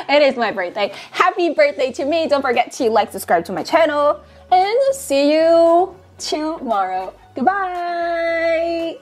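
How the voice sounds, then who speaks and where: Bubbly high-voiced young woman vlogging. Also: sing-song, slow, faint music behind. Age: 20s. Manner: bubbly, giggly, sings and repeats phrases. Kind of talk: casual vlog chat. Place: small apartment room, indoors. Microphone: clip-on lapel mic.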